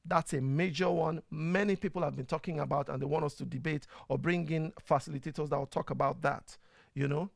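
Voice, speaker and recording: This is a man with a Nigerian accent who hosts a casual online show. He sits a little high in pitch with a gravelly edge, and his voice sounds close and dry, with no room around it. He talks in a chatty way, full of fillers. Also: fairly even intonation, fairly quick, no echo. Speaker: host. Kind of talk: casual live-stream talk.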